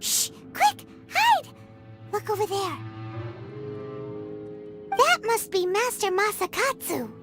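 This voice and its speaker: A woman voicing a tiny, excitable fairy-like companion in a video game dub, chattering in quick exclamations. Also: extremely high pitch, over music, full character voice. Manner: refers to herself in the third person, quick exclamations.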